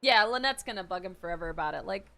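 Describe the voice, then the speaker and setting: A woman's voice: medium pitch, animated, quick pace, bright, forceful tone. A woman streamer giving casual, gushing reaction commentary on a game, repeating words for emphasis. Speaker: gaming streamer.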